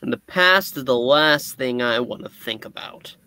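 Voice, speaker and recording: A man voicing a sullen, dismissive former high school jock in a comedic comic book reading, speaking tersely. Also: expressive, normal speed, pushed and bright, smooth, no room sound.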